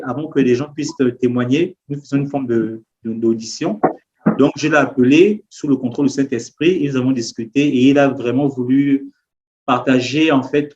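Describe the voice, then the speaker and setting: A man talks as the host of an interview. He is conversational, with a medium-pitched voice. The recording is slightly muffled and lo-fi. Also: darkish tone.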